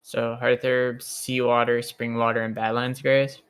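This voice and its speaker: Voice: mid-range voice, little pitch movement, a little slow, clean tone. A tabletop roleplaying player bantering casually in a blunt, teasing, sweary way. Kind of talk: casual banter during a game.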